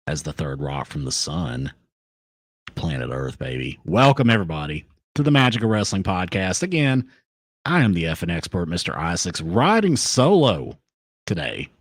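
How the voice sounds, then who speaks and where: A man hosting a solo podcast, talking off the cuff with hyped banter and shout-outs to his chat, into a compressed studio microphone. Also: lowish voice, no room sound. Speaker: podcast host.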